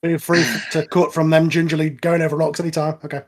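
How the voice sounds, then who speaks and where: Higher-voiced man casually riffing on a film. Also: level tone, fast. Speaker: audio drama writer on cast commentary track. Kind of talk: casual film commentary chat.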